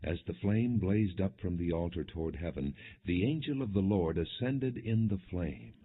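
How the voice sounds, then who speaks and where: A man narrating scripture as a scripted audiobook, with a measured, expressive delivery on slightly muffled audio. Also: low-pitched, slightly rough.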